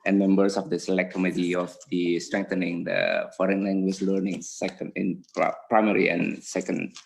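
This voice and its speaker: A man's voice: sits low, level tone, normal speed, creaky, slight background noise. A man from Indonesia with an Indonesian accent, giving a formal submission to a parliamentary committee and reading prepared remarks with small slips. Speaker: community association vice president.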